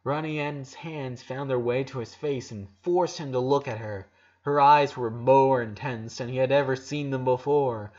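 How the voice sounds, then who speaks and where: A man's voice: mid-range voice, normal speed, darkish tone, muffled recording. A man reading a dramatic story aloud as narrator, emotive, with occasional stumbles.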